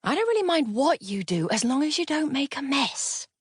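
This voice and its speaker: A woman's voice: high voice, sing-song, normal speed, no echo, studio quality. An actress playing a busy mother in an audiobook drama, speaking in an offhand, dismissive way. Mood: dismissive, distracted.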